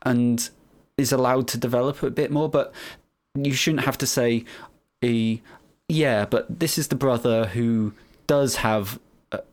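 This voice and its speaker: A man in casual podcast conversation, speaking in a measured, analytical way with frequent repeated starts. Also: medium pitch, steady tone. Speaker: podcast co-host discussing anime. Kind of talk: casual chat.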